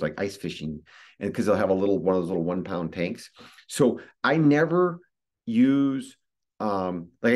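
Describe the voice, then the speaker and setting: Man, middle-aged, American accent, lowish pitch, conversational webinar explainer, repeats himself for emphasis. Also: darkish tone. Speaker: guest expert giving a presentation. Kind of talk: informal explanatory webinar talk. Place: indoor room, video call. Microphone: video call microphone.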